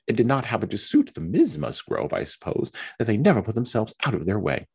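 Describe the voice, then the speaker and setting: A man's voice: on the low side, huge pitch swings, fairly quick, creaky, a little mellow, husky, pitched above the performer's own voice, full character voice. A man reading a novel aloud, voicing a fretful, self-pitying young married woman who whines and complains. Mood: complaining. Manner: whiny, complaining.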